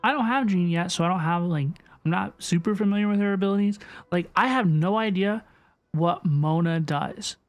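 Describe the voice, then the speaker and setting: A woman's voice: lowish voice, normal speed, dull audio. A woman livestreaming a game, chatting casually and effusively as she answers her viewers. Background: game music.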